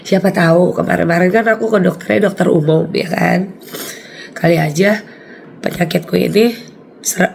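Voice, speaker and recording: A woman in her forties, hoarse and raspy from a cold, chatting casually to her viewers and laughing often. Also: on the low side, a little mellow, slight background noise. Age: 40s. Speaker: vlogger.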